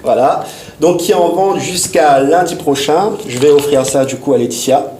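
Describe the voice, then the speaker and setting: A man's voice: fairly high pitch, moderate pace, echoey room, crowd noise. Chatty man with a voilà habit. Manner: chatty, filler-heavy with repeated "voilà". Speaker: entertainment news columnist. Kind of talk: casual studio chat. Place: TV studio set in Réunion.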